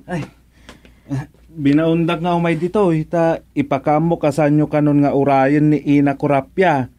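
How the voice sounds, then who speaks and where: A man's voice: a little high, little pitch movement, moderate pace, mellow, no echo, lightly acted. An actor playing a flustered comic village errand man in an Ilocano radio comedy drama.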